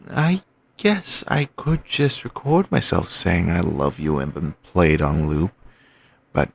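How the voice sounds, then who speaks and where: Guy doing a clingy obsessive boyfriend, deep husky voice, slow and soft, audio roleplay. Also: dry recording, full character voice. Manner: soft, murmured, fixated repetitions. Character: obsessive, doting young male boyfriend.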